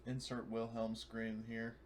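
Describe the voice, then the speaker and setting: A man's voice: medium pitch, monotone, measured pace. A sweary man talking casually as a player in a tabletop roleplaying game. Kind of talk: casual chat. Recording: faint background noise.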